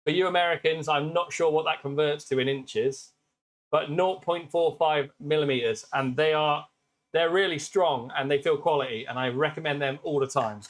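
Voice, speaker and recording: A British man with a naturally loud voice, hosting a casual live stream demo and chatting warmly with viewers. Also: on the high side, moderate pace. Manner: chatty, upbeat, greets viewers by name. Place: workshop with laser engravers.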